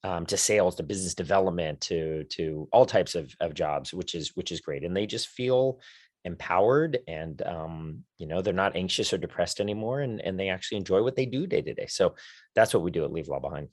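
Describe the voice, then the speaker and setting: A man, a career coach for lawyers, speaking in an enthusiastic, conversational podcast interview with quick lists and restarts. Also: low voice, animated, no room sound, clean studio sound. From United States.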